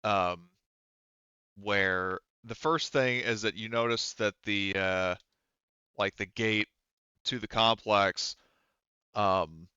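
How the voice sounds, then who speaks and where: Low-voiced man, bright and halting. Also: fairly even intonation, smooth, no room sound, highs cut off. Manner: halting, pausing mid-sentence. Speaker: game master. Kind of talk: narrating a tabletop roleplaying game, casual.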